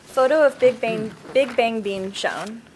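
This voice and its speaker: Female narrator for screenplay directions, somewhat high-pitched, scripted read. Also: a little slow, a little hiss or hum. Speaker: stage-direction reader.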